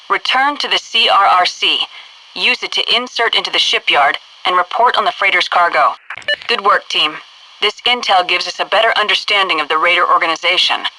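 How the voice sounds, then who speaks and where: American military hq woman on the radio, super crisp forceful tone, clipped and bossy game briefing, kinda distant. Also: lowish voice, slight background noise. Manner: clipped, authoritative, formal. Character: composed, commanding female military headquarters officer. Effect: radio filter. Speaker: mission control officer.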